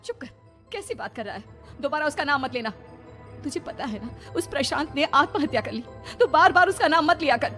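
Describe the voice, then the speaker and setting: A woman's voice: high voice, expressive, brisk, sharp tone, faint music behind, voice pushed up. A woman voicing a frightened, protective mother in a cartoon horror story, with urgent, emotional delivery. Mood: scolding. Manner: urgent, tearful pleading. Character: frightened, protective village mother pleading for her son.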